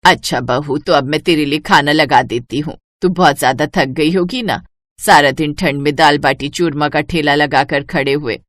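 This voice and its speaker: A woman's voice: deep voice, fairly even intonation, normal speed, fairly bright tone, dry recording, clean studio sound, pitched below the performer's own voice. A woman voicing an elderly mother-in-law who is caring, anxious and stubborn, in a storybook tale. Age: older.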